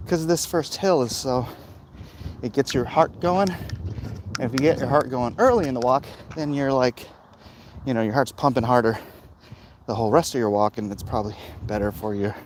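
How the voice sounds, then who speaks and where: A man talking casually and ramblingly with jokey asides, as a vlog host out on a walk. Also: medium pitch. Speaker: vlog host, comic book creator. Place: outdoors on a street walk. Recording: outdoor street noise, passing vehicles.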